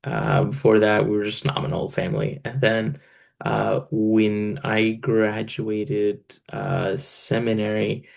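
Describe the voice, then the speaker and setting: Gravelly low-voiced man, relaxed talker. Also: takes it slowly. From Egypt. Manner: relaxed, many fillers, laughs between phrases. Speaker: church financial controller and bivocational pastor. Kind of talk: casual interview answers.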